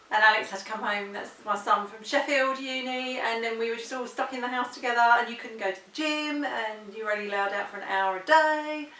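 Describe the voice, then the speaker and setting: A woman, telling her story in quick run-on sentences. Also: a little high, sharp tone. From London. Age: 40s. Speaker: interviewee. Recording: roomy echo, distant mic.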